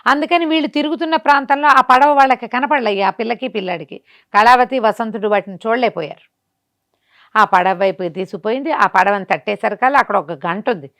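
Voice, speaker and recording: Female storyteller, mid-pitched, conversational and animated, tells folk tales with rhetorical questions.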